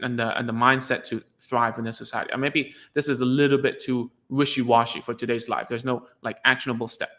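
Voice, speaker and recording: A man's voice: medium pitch, pushed and bright. A man whose first language is Cantonese, a speech therapist giving frank, rambling casual advice to parents, full of "like" fillers.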